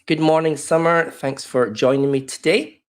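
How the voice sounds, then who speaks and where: A man in his fifties with an Australian accent presenting a live online workshop from his home office over a webcam call. He sounds a little croaky from a cold and talks in a loose, welcoming way with plenty of fillers. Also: on the high side, steady tone, moderate pace. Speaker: webinar presenter. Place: home office in a desk chair. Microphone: webcam video call.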